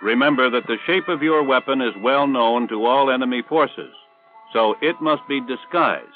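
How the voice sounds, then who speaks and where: An American man reading scripted instructional narration for a 1960s military training film in a measured, authoritative way. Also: mid-range voice, faint music behind. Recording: tinny band-limited 1960s film soundtrack.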